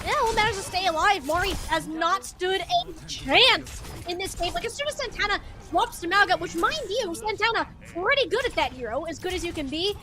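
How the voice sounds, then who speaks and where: Very high-pitched woman esports caster. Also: lively intonation, a little fast, few pauses, bright, forceful tone. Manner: casual, wry, slangy. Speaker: esports commentator. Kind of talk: live play-by-play game commentary.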